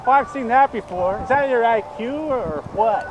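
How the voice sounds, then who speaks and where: Very high-pitched indignant man, noisy outdoors. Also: normal speed. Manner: confrontational, indignant. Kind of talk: heated argument and complaining. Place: outdoor model flying field. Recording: very noisy outdoor recording with aircraft sound.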